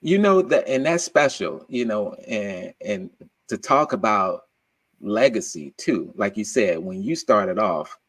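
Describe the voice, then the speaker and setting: A man's voice: on the low side, lively intonation, unhurried, a bit bright. A man hosting a podcast interview, upbeat and affirming, calling his guest brother. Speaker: podcast host. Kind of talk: casual interview.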